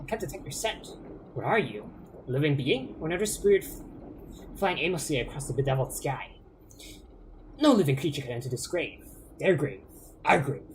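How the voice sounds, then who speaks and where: A man voicing a grandiose, vengeful spirit that guards a ruined bunker, reading its lines in a slow, theatrical, declamatory way. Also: a little high, slight background noise, poor audio. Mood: suspicious.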